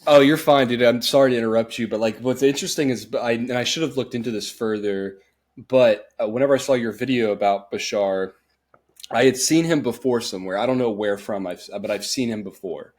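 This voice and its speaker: A man's voice: medium pitch, fairly quick. A young man hosting a podcast, talking in a loose, rambling way with lots of fillers. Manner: rambling, laid-back, lots of "like" and "uh". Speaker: podcast host. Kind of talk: casual podcast chat.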